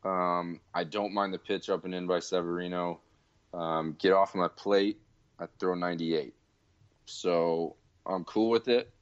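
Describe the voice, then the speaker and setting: Deep-voiced rueful man, lo-fi. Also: fairly even intonation, a little slow, smooth. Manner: self-deprecating, rueful. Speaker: podcast co-host. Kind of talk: casual sports chat. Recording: dull lo-fi sound.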